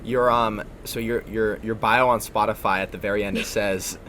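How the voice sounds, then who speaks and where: A man interviewing a musician, asking halting questions with many restarts. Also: lowish voice, steady tone, fairly bright tone, slight background noise.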